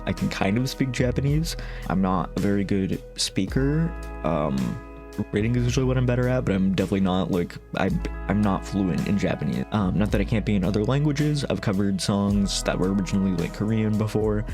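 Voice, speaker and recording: A man chatting casually through a fan FAQ about making song covers, rambling and self-deprecating, with lots of fillers, restarts and little laughs. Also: fairly low pitch. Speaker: vocal synth song cover creator. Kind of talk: casual FAQ chat to camera.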